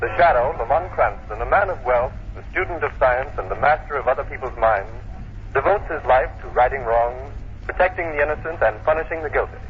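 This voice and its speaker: A man's voice: mid-range voice, lightly acted. Man as a dramatic old-time radio announcer. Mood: solemn, dramatic. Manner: measured, dramatic, declamatory. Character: solemn male radio announcer introducing a crime serial. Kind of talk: 1940s radio scripted narration. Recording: dull old radio recording, faint noise.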